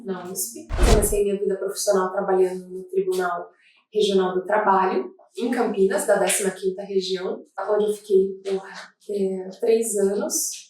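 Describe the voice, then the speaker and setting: Brazilian woman from rio, calm measured talk, very echoey room, mic kinda far off. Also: medium pitch, slight background noise. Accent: Brazilian Portuguese, Rio de Janeiro. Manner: measured, thoughtful, with frequent restarts. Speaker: federal prosecutor. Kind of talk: oral history interview.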